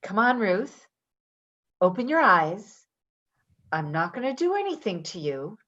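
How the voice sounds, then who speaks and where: A woman's voice: medium pitch, sing-song, unhurried, fairly bright tone, dull audio. A woman acting in a play reading as a volatile, foul-mouthed woman who makes confrontational, threatening demands. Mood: frantic, threatening.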